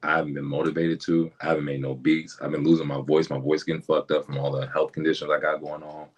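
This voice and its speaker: A young man, a rapper guest, talking candidly in a podcast conversation, halting and repeating words, with casual slang. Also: very deep voice, little pitch movement, slightly rough.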